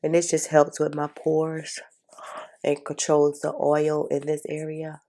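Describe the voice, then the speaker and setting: A congested woman talks viewers through her makeup in a casual, chatty way. Her voice sits low and carries a gravelly fry, with a dark, mellow tone. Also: monotone, unhurried. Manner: chatty, informal, addresses viewers as y'all. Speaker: beauty vlogger. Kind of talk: casual makeup tutorial chat.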